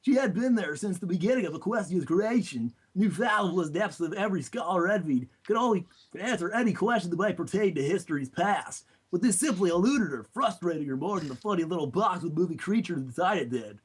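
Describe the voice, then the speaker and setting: A man's voice: sits high, expressive, a little fast, hardly any pauses, slightly rough. A man voicing a nerdy cartoon turtle who earnestly narrates a storybook tale. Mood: earnest narrating. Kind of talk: scripted storybook narration read in a character voice.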